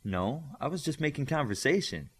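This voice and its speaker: A man's voice: on the high side, very animated, moderate pace, husky, voice pushed up. A man voicing a small, awkward, curious young student in a storybook, tentative and conversational.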